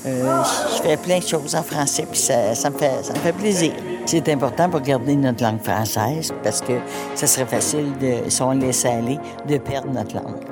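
Woman in her fifties, measured and earnest, music playing under her. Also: rumbling low. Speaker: former federal employee. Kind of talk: vox pop interview.

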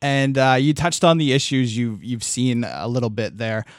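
A man's voice: medium pitch, normal speed, dry recording, studio quality. A man hosting a radio interview, asking questions with hesitant uh fillers and repeated words.

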